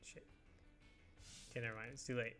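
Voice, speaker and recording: A young man with a stuffy nose and a scratchy throat talks through a video game in a loose, rambling way, full of ums and likes. His voice sits at an ordinary middle pitch. Game music plays clearly behind him. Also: little pitch movement, a little fast. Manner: rambling, hesitant with many fillers. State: congested, scratchy throat, coughing. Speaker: let's play host. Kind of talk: casual gameplay commentary.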